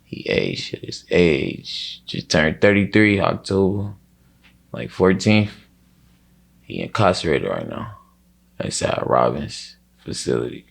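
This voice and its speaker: A man talks casually as an interview guest, his voice deep and full of gravelly creak. He rambles in thick slang and often circles back over the same words. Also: sing-song, takes it slowly. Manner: slangy, rambling, repeats himself.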